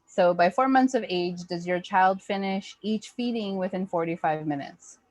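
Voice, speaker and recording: A woman with a fairly low voice talks parents through material as a program facilitator. The delivery is conversational, and the sound is dry and close with little room in it. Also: moderate pace, darkish tone, no room sound. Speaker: early childhood program facilitator.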